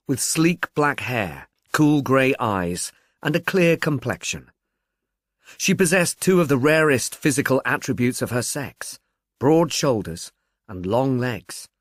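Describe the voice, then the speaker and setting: A man narrates literary fiction in a scripted audiobook style. His pitch is animated and mid-range, and the recording is dry with little room sound. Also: dry recording.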